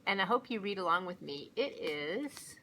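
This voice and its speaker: Animated, bright-voiced woman reading to children. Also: medium pitch, slight background noise. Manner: animated, deliberate, coaching, prompting. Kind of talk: slow read-along for young children.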